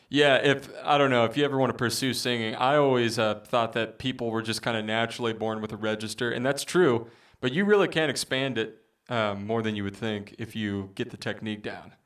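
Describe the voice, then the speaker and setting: Chatty baritone male streamer, rambly and loose, low voice heard from some distance. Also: a bit bright. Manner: rambling, chatty, many fillers, reads out viewer comments. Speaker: musician streamer. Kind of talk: casual livestream chat.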